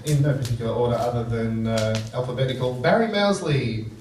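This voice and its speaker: A man's voice: mid-range voice, a little fast. A man announcing and narrating a live radio serial to a theatre audience. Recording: echoey theatre, distant mic, audience noise.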